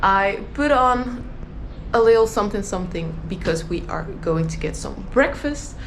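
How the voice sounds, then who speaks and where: A woman travel vlogger chatting casually to the camera, slightly croaky from just waking up, at a holiday resort. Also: mid-range voice, moderate pace, a little hiss or hum. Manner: chatty, relaxed. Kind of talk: casual vlog talk to camera.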